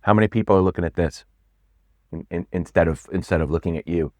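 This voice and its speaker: Middle-aged male coach, very deep voice, animated and stumbling, close dry mic. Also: fast talker, no echo. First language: not Swedish; English speaker. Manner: animated, repeats words, lively stresses. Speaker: pitch coach guest. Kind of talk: casual interview talk.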